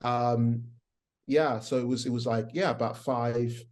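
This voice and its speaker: Man, Nigerian accent with American twang, middle-aged, relaxed rambling interview guest, medium pitch. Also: a little slow. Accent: Nigerian with a faint American twang. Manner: relaxed, rambling with many fillers and restarts. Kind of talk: casual interview chat.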